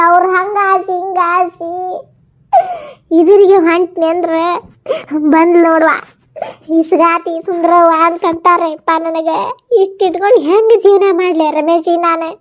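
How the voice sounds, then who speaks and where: A woman playing a self-pitying aging mother in a family drama, lamenting tearfully with a North Karnataka accent. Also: extremely high pitch. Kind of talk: melodramatic family drama acting.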